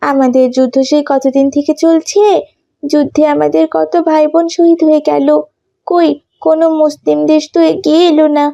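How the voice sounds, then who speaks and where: A woman's voice: sits high, creaky, dark tone, lo-fi sound. A woman voicing a sorrowful, doubting young Palestinian woman in a cartoon, speaking in an earnest, plaintive way. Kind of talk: cartoon dialogue acting.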